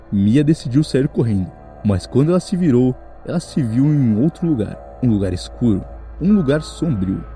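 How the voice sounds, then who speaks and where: A man's voice: mid-range voice, dark tone. A man with a Brazilian Portuguese accent narrates a horror story in a suspenseful way, speaking directly to the listener. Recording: music behind the voice. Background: background music.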